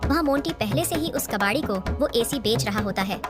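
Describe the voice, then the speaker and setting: A woman's voice: fairly high pitch, lively intonation, a little fast. A woman storyteller reading scripted narration for a cartoon tale. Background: loud music.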